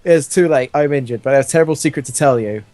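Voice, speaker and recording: A man's voice: medium pitch, racing, darkish tone, lightly acted. A man voicing a dying alien father, grave and melodramatic, in a comic science-fiction story reading. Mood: dying, confessional.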